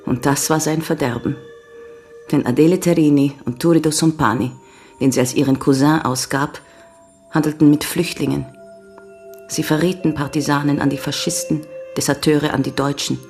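An actress playing a grave young American woman giving measured, sombre testimony in a German radio crime drama. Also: low voice, fairly even intonation, a little mellow, soft background music. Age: young adult. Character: grave young American woman recounting her father's betrayal. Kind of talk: German radio play acting.

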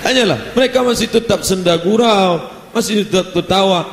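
Man, preacher, animated, roomy echoing hall, faint ambience. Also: sits high, normal speed, slight background noise. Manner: lively, animated delivery with playful asides. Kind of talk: religious lecture.

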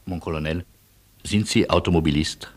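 An eloquent refugee in a radio play, speaking in a deep register. His delivery is husky, polite and drily ironic, with elaborate phrasing. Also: fairly even intonation, moderate pace. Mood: politely ironic. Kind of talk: German radio play acting.

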